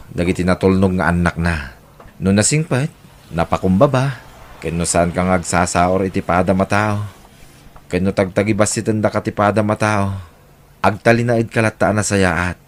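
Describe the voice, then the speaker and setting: A man with an Ilocano accent voicing a humble, devout poor father in an audio drama, gentle and earnest. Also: low-pitched, steady tone, moderate pace, close to an everyday voice. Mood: grateful. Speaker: voice actor.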